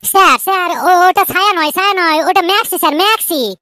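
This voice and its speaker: A squeaky cartoon schoolkid, extremely high and sharply bright. The child is cheeky and clueless, pleading silly excuses and repeating words in a stammer. The sound is close and dry. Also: brisk, no echo. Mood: flustered. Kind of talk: comic cartoon dialogue.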